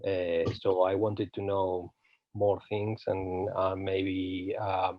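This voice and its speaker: A man's voice: sits low, level tone, slow, no echo. A man introducing himself on a call, hesitant and modest. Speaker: party international secretary. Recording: dry, dull lo-fi call audio.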